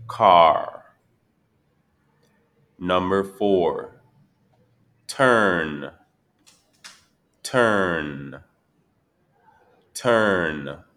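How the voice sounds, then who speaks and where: Male test reader, very low voice, slow deliberate repetitions. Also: fairly bright tone. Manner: repeats each item deliberately. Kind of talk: slow scripted exam dictation.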